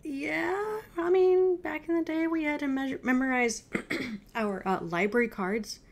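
A woman's voice: high voice, a little slow. A woman in her thirties giving casual, off-the-cuff reaction commentary at home. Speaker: reaction video host. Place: indoor room at home.

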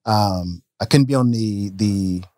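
A man in his thirties, a business owner telling stories in a casual podcast interview, relaxed and talking with his hands. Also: fairly low pitch, slow pace, dry recording. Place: podcast studio, indoors. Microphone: studio mic on a boom arm. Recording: dry close studio sound.

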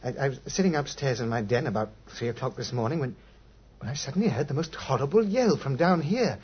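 A man's voice: medium pitch, expressive, fast, breathy edge. An actor playing a nervous, shaken British newspaper reporter in a 1950s radio drama, stammering and repeating his words. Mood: flustered. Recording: dull, muffled 1950s radio recording.

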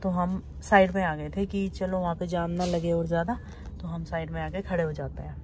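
A woman's voice: on the low side, fairly even intonation, brisk, darkish tone, a little hiss or hum. A middle-aged woman chatting casually as a vlogger, recorded on a phone at arm's length. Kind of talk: casual vlog chat to camera and family. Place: small home rooms and inside a car.

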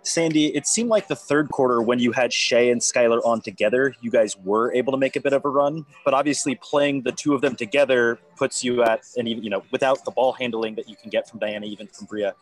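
A man, a sports reporter, poses long and detailed tactical questions at a press conference. His voice sits in an ordinary middle pitch for a man. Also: steady tone, brisk, hardly any pauses, low-quality recording.

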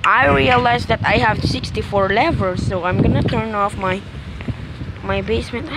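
A young person giving casual, halting gameplay commentary with repetitive phrasing as the host of a gaming video. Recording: very noisy, windy rumbling microphone.

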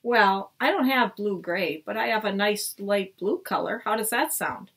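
A woman teacher voicing a kind, cheerful woman doctor in a storybook read-aloud, with a bright, reassuring manner and a breathy tone. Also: mid-range voice, unhurried.

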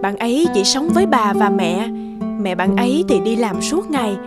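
A woman playing a patient, gentle mother who explains things coaxingly to her son in a cartoon. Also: a little high, lively intonation, moderate pace, lightly acted. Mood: gentle, explaining. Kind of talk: cartoon dialogue, moral story. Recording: loud music under the voice.

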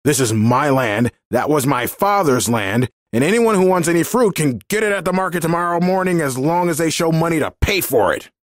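A man's voice: low-pitched, sing-song, moderate pace, hardly any pauses, no room sound, clean studio sound. A man voicing an angry, bullying, miserly vineyard owner who rants scornfully in a dramatised story. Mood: indignant. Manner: ranting, scornful.

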